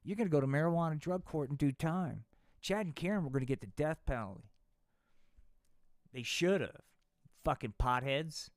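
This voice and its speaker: Swearing rambly guy doing a live puppet show, kinda high voice, dry sound. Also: no echo. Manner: rambling, profane, slangy. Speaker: puppeteer host.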